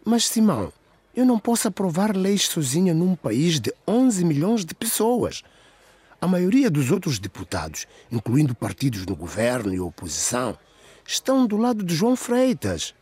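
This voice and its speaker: Radio drama: composed, formal female deputy, acted by a man, raised pitch, lively swoops, resigned temper. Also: moderate pace, slightly rough, no room sound, exaggerated voice. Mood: firm, reasoning. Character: weary but composed female member of parliament.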